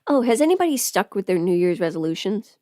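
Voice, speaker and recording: A woman's voice: mid-range voice, big pitch swings, quick pace, mellow, no room sound, clean studio sound. A young woman chatting casually as a livestream host, informal and talkative, often putting rhetorical questions to her listeners. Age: young adult.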